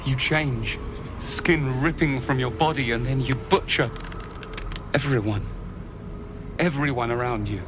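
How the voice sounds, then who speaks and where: A man's voice: mid-range voice, unhurried, long pauses, over music, natural voice. An actor playing a haunted, horrified man in a video game, speaking with fervent dread. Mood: dread, foreboding.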